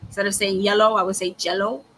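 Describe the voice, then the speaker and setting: A woman's voice: mid-range voice, flat delivery, fast, a bit bright, rough recording. A woman whose first language was Spanish, chatting in an easygoing way on a podcast. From Dominican family, grew up in the US. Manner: easygoing, laughs between remarks. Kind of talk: casual chat.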